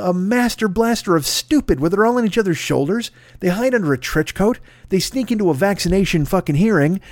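A man's voice: very high-pitched, darkish tone, no echo. A man hosting a podcast, delivering a profane, sarcastic comedic rant. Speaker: podcast host.

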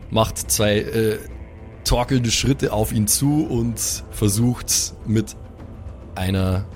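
Low-voiced man as game master. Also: darkish tone. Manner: descriptive, with pauses to improvise. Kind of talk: improvised narration of a tabletop role-playing game.

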